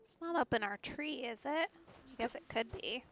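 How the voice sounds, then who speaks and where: A woman's voice: a little high, animated, moderate pace, fairly bright tone, a little hiss or hum. A woman streaming a game, giving short casual reactions as she plays, on a dull lo-fi recording. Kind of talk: casual reactions while playing.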